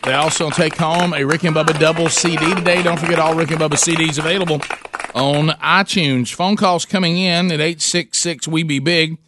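A man hosting a radio show, joking and bantering quickly and laughing easily. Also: on the high side, fairly even intonation, noisy background. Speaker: radio host.

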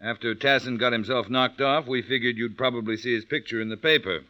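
An actor playing a smug, menacing gangster in a vintage radio thriller, sardonic and taunting. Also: male voice, mid-range voice, brisk, bright, forceful tone, natural voice. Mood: cold, menacing. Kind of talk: 1940s-50s radio drama acting.

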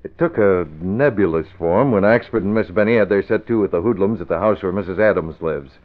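Man playing a deep-voiced serious newspaper boss. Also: close to an everyday voice. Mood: thoughtful. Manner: measured, authoritative. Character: serious, deductive male newspaper boss and secret crimefighter. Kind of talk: old-time radio drama acting. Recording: thin, narrow-band vintage radio recording.

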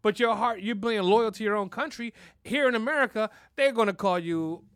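A man hosts a casual podcast in a high, bright voice, ranting loudly with plenty of swearing. The sound is dry and close on the mic. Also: fairly quick, no room sound. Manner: loud, profane, rambling. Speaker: podcast host. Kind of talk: profane casual podcast rant.

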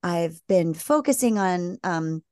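A woman co-hosting a faith podcast in casual chat, talking in quick bursts and acting out quoted people. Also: lowish voice, expressive, mellow, dry recording. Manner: chatty, fast bursts, acts out quoted people. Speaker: podcast co-host.

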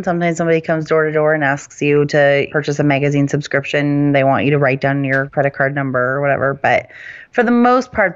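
A woman's voice: sits low, highs cut off. A woman hosting a podcast, explaining things in a casual, chatty and informal way. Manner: chatty, informal, often hedging with "I mean" and "you know". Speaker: podcast host.

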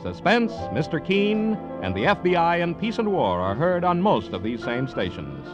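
A man's voice: on the high side, wildly swooping pitch, moderate pace. An American man announcing for network radio in a 1950s broadcast style. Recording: dull old radio broadcast recording. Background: music.

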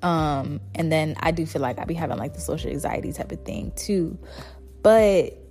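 A soft-spoken young woman hosting her podcast, chatting casually and rambling with lots of "like" fillers. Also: sits low, lively intonation, moderate pace, faint music behind. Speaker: podcast host. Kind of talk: casual solo chat.

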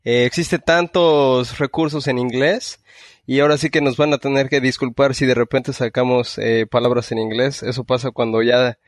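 Mexican man, easygoing podcast co-host, mid pitch, dry close-miked sound. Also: steady tone, moderate pace, dry recording. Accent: Mexican Spanish. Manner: easygoing, filler-heavy. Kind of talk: casual podcast chat.

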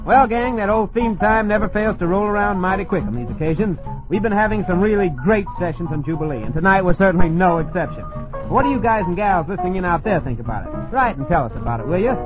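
A man's voice: sits high, expressive, fast. An American man hosting an old-time radio show, reading scripted announcements in a chummy, folksy way to listeners. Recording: dull vintage radio recording with music. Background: music behind the voice.

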